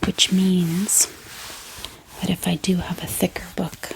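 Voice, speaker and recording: Slow whispering woman, soft ASMR delivery. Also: lowish voice, creaky, poor audio. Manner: gentle, unhurried, calming. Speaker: ASMR book vlogger. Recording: steady background hiss, heater hum, clicks. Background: book tapping, clicking, heater running.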